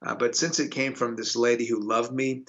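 A man's voice: mid-range voice, level tone, normal speed, a bit bright, lo-fi sound. A man from Houston, a pastor, earnestly telling his story in a radio interview. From Houston, Texas.

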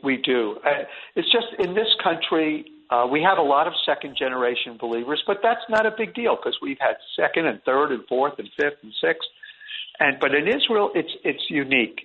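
A man's voice: a little high, lively intonation, normal speed. A middle-aged man speaking as an interview guest, earnest and rambling, restarting his sentences often. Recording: tinny phone line.

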